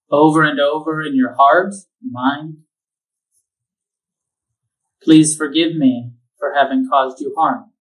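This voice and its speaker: A man leading a guided meditation, giving slow, calm instructions with long pauses. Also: on the high side, far from the mic, poor audio. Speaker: meditation teacher.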